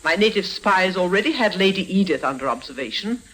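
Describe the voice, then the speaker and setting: An actress playing a ruthless older woman con artist in an old radio drama, menacing and deliberate in manner. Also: mid-range voice, animated, fairly bright tone, slightly rough, low-quality recording. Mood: smug, gloating. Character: cunning, ruthless old female con artist and crime boss. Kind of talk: 1950s radio drama acting.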